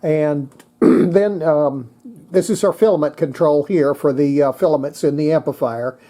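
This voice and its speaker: A presenter giving a casual hands-on technical demonstration, thinking aloud in a halting way. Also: medium pitch, warm tone. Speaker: presenter demonstrating antique radios.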